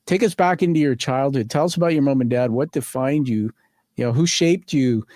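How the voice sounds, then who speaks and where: A man's voice: medium pitch, brisk, a little mellow, no echo. Enthusiastic male podcast host, dry sound. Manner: enthusiastic, encouraging prompts. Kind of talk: casual interview chat.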